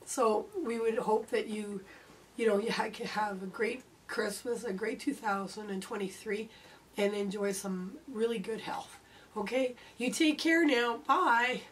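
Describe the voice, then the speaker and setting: A middle-aged woman hosting a quilting lesson, chatting warmly while she sews, her voice starting to go hoarse. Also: on the high side, unhurried, slight background noise, poor audio. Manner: chatty, homey, talks while sewing. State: voice going hoarse. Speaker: quilting channel host. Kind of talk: casual sewing tutorial.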